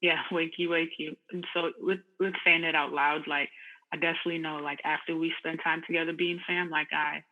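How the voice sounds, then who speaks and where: A young man co-hosting a casual podcast, rambling with lots of fillers and excitable asides. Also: on the high side, a little fast, narrow, tinny audio. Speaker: podcast co-host.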